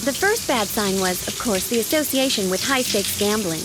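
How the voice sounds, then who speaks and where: A woman's voice: medium pitch, lively intonation, normal speed, natural voice. A woman playing a plain-spoken, self-assured young race driver in a video game, speaking in a matter-of-fact, assertive way. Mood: reflective. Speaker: protagonist and narrator. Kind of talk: video game cutscene acting and narration. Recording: clear background vehicle noise.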